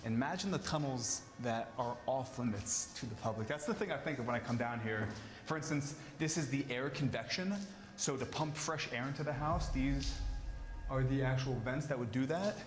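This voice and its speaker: A man narrates an informal walking tour in a medium-pitched voice. He explains each item and invites listeners to picture it. Faint music plays behind him. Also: moderate pace. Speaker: tour guide.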